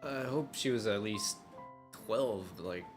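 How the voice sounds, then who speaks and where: A man's voice: fairly low pitch, takes it slowly. A man live streaming a game, giving casual, slangy, muttered reactions to the story. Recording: game music behind the voice.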